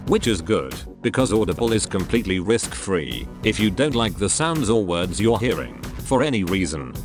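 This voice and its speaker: A man reading a scripted sponsor message in a deadpan, tongue-in-cheek way. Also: fairly low pitch. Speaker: sponsor announcer. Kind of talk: scripted ad read. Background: music.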